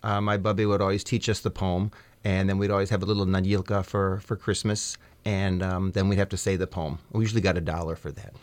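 A man's voice: low voice, flat delivery, fast talker, few pauses, dry recording. A middle-aged American man as a radio studio guest, chatting in a hesitant, good-humored way with many fillers. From United States. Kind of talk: casual interview chat.

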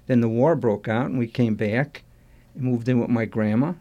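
Older man with a mellow voice. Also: medium pitch, lo-fi sound. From Chicago, USA. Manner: halting, restarts sentences, warmly nostalgic. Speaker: interview guest. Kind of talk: reminiscing interview answers.

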